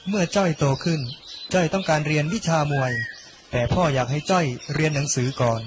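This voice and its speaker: A young man reading a scripted narration for an animated story. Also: a little high, fairly quick. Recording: loud music under the voice. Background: loud background music.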